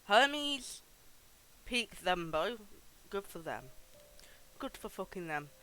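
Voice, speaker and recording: A man's voice: high voice, very animated, slow pace, sharp tone, soft background music, low-quality recording. A man hosting a livestream, giving casual, informal game commentary with some swearing. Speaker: livestream host.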